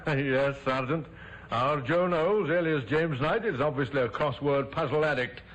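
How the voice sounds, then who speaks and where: A shrewd police inspector. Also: male voice, on the high side, moderate pace, fairly bright tone, muffled recording. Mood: brisk. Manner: crisp, authoritative, dryly ironic. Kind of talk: 1950s radio drama acting. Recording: old radio broadcast with faint hiss.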